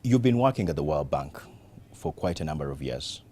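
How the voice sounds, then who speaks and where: A man with an exceptionally deep voice conducts a formal interview. He poses his questions as an interviewer would, with slight ambient noise behind him. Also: sing-song, a little fast, mellow.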